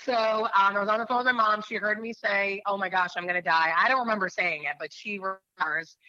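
A woman's voice: medium pitch, fairly even intonation, fairly quick, sharp tone, no room sound, highs cut off. A woman in her thirties from New Hampshire, an attorney telling her faith story in an interview, earnest and rambling with many fillers.

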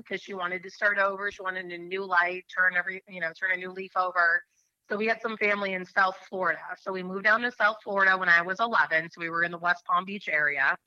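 Woman lawyer from new hampshire, earnest rambly story, lotsa ums, bright voice, kinda dull dry audio. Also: fairly low pitch, no room sound. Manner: earnest, rambling with many um fillers. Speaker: attorney, interview guest. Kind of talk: faith interview, personal story.

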